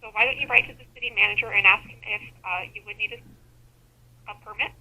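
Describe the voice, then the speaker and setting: A woman's voice: medium pitch, fairly quick, pushed and bright, slightly rough. A woman official on a customer service phone call, explaining things in a formal, procedural way. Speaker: city clerk official.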